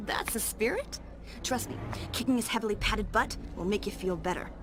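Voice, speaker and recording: Actress as flirty, lively female cat burglar. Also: medium pitch, moderate pace, natural voice. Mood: coaxing. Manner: coy, playful taunting. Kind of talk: video game cutscene acting.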